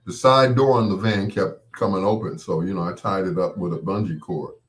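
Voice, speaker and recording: A man with a fairly low voice talks as a show host in casual, rambling chat. He is folksy and playful, telling things as loose anecdotes addressed straight to his listeners. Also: a little fast. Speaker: host of a late-night show.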